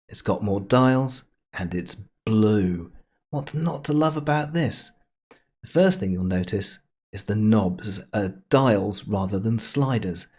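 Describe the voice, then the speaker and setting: A man presenting a casual software tutorial in a playful, chatty way with jokey asides. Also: lowish voice, slightly rough.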